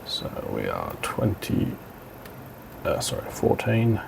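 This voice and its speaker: A man giving an informal software tutorial, thinking aloud as he instructs step by step. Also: a bass voice, wildly swooping pitch, slow, vocal fry, noisy background. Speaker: technical demonstrator. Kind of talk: software tutorial walkthrough, talking while clicking.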